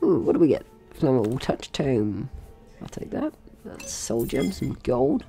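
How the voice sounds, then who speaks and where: A woman's voice: rumbling low, big pitch swings, a little slow, creaky, warm tone, faint music behind. A woman giving casual, rambling and playful let's play commentary as host, swearing now and then. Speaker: gaming video host.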